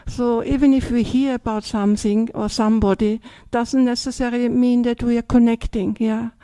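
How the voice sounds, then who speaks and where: Older Austrian woman, nun giving a talk, fairly high voice, dry close sound, chatty. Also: flat delivery, normal speed, warm tone, dry recording. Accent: Austrian German. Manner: chatty, tags sentences with "yeah?" and "yes?". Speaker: Buddhist nun. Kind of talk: dharma talk.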